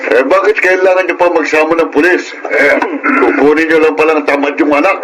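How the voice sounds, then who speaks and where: A man with a Filipino accent playing a harsh, impatient employer in a radio drama, gruff and domineering. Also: a little high, steady tone, brisk, loud music behind the voice, close to an everyday voice, poor audio. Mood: angry, scolding. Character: harsh, bullying male employer ordering his maid around.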